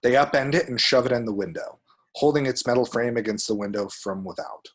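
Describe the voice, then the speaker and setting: A man's voice: mid-range voice, fairly quick. A man reading screenplay action descriptions aloud as dramatic, vivid narration at a table read.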